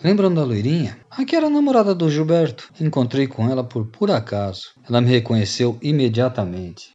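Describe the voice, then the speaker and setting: A man acts out a reformed Brazilian freeloader confessing to old friends in a radio play. His voice is dark and mellow. The recording is slightly dull and lo-fi. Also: mid-range voice, sing-song, fairly quick, natural voice. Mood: confessional. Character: repentant rogue and former freeloader confessing to friends. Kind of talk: radio drama storytelling.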